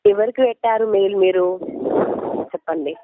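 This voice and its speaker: A woman's voice: medium pitch, fairly quick, no room sound. A woman working as a loan recovery agent on a phone call, scolding and pressing insistently in a confrontational way. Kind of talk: debt collection phone call. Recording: muffled, narrow-band telephone line recording.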